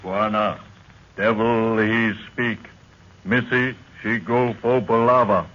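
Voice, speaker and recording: Slow-spoken stoic African warrior. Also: male voice, lowish voice, steady tone, a bit bright. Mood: grave, urgent. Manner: halting pidgin English, terse. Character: stoic African warrior servant, grim and loyal. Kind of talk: old-time radio acting. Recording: dull old radio recording.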